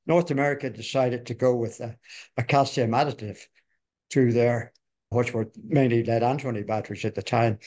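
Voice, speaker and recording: An older man co-hosting a podcast, slightly hoarse from a cold, reminiscing in a rambling, folksy way with frequent restarts. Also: medium pitch, a little mellow. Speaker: podcast co-host. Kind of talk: conversational industry reminiscence.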